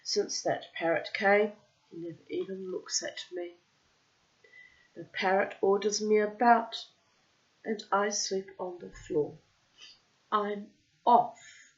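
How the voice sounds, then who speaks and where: A woman's voice: medium pitch, expressive, slow pace, long pauses, muffled recording, pitched above the performer's own voice. A woman voicing a distraught young wife who is packing to leave, speaking in a fed-up, tearful way. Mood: upset. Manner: sobbing, fed up.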